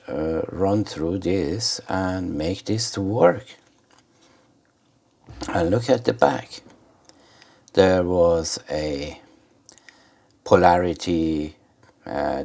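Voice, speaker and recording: A man giving an informal hands-on commentary for a repair video, speaking haltingly in short phrases with pauses. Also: low-pitched, darkish tone. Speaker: host of an electronics repair video.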